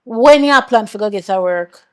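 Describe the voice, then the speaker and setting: A woman's voice: mid-range voice, lively intonation, moderate pace, lightly acted. An actress playing a stern, exasperated middle-aged mother with a Jamaican accent, scolding and confronting her grown son with pointed questions.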